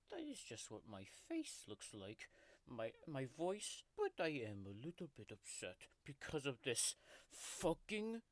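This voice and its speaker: A woman's voice: extremely low pitch, no echo, full character voice. A woman voicing a grumpy, foul-mouthed male tiger-like creature who whispers and mocks while reading a bedtime story as comedic ASMR. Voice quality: whispery, crude and mocking.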